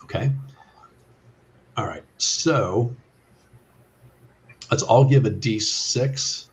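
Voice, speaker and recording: A man narrating a tabletop game as game master in a halting way, thinking aloud, on an online call. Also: medium pitch, a little hiss or hum.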